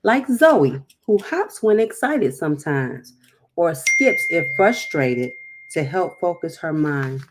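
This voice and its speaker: A woman's voice: sits low, very animated, slow, background noise. A woman hosting a storytime, reading a picture book aloud with chatty, encouraging, emphatic asides.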